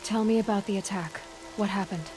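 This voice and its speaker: An actress playing a determined, frank young huntress in a video game, direct and earnest with a dry edge. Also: female voice, mid-range voice, fairly quick, darkish tone, faint music behind, lightly acted, low-quality recording. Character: determined, frank young female hunter heroine. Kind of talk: video game cutscene acting.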